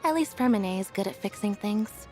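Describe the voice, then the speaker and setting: A calm, aloof young magician's assistant from a video game, played by a woman. She speaks in a measured, understated deadpan at a medium pitch, observant and reserved, with music playing behind her. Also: close to an everyday voice. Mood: calm, matter-of-fact. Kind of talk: scripted video game character voice lines.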